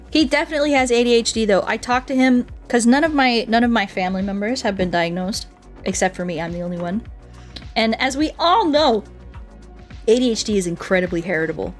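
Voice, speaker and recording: A woman chatting casually and telling stories as a streamer, with an expressive, playful delivery. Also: medium pitch. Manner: expressive, playful, acts out quoted dialogue. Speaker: game streamer chatting with viewers. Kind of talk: casual chat, storytelling.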